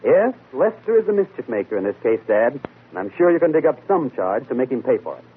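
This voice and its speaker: A man's voice: mid-range voice, big pitch swings, fast talker, background noise, natural voice. A man playing a confident, methodical amateur detective in 1940s radio mystery style, explaining deductions by posing and answering questions. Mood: brisk, assured deduction. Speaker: detective and show host. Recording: muffled, lo-fi vintage radio broadcast.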